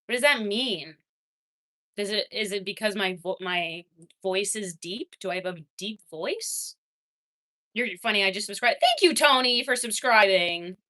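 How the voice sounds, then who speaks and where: A young adult woman streaming, casually chatting with her viewers and reading their messages, laughing often and swinging between excited and quiet. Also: mid-range voice, a little slow. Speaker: livestreamer reading chat. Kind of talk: casual livestream chat.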